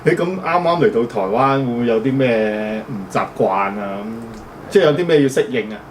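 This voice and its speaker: A man's voice: medium pitch, animated, moderate pace. A male host with a Hong Kong Cantonese accent asks his guest questions in a loose, conversational way. He strings his questions together with fillers as he goes. The mic is a little distant and a faint hiss runs underneath. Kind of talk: casual interview questions.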